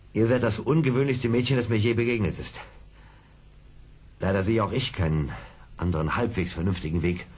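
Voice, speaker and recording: An actor playing a seasoned, brave frontier scout, commanding and deliberate, in a radio play. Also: male voice, fairly low pitch, husky. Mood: grave, reasoning. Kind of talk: 1970s German radio play acting.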